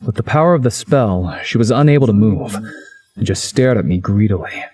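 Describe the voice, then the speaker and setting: A man's voice: lowish voice, lively intonation, moderate pace, a little mellow, slightly rough. A man narrating a visual novel in the first person, as a story's narrator.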